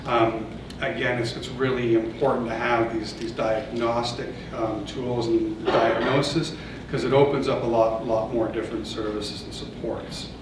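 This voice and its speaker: Canadian male presenter, monotone, halting with fillers; distant in a boomy, noisy hall. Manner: hesitant, many fillers and restarts. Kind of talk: conference presentation with audience Q&A. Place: large conference room. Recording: echoey hall, voice from off-mic, noisy.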